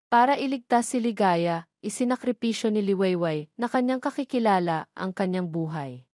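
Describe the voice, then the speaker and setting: A woman's voice: medium pitch, lively intonation, normal speed, a little mellow, dry recording. Filipino woman narrating a scripted story, dry sound.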